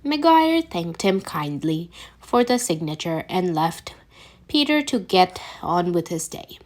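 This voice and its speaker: Slow-paced woman reading fan fiction, halting. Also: fairly low pitch, big pitch swings. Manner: halting, stumbles and corrects herself. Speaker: podfic reader. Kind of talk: amateur fan fiction read-aloud.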